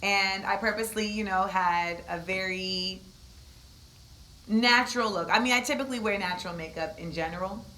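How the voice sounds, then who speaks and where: Animated, bright-toned woman telling stories. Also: normal speed, slight background noise, low-quality recording. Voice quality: deep voice. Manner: animated, comedic, conversational with asides. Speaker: speaker at a university event. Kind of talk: storytelling talk to a live audience. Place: university event space.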